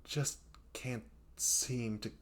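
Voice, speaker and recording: A man's voice: medium pitch, steady tone, very slow, a bit bright. A man playing a hapless young male best friend in a comedic audio roleplay, rambling and stammering in a flustered, self-deprecating way. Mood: sheepish, embarrassed. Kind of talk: comedic audio roleplay monologue addressed to the listener.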